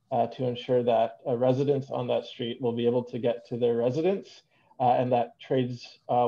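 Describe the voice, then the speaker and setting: A man's voice: mid-range voice, gravelly. A man with a Canadian accent, a city councillor asking staff questions over a video call, hesitant with many fillers. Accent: Canadian English. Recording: dull lo-fi video call audio.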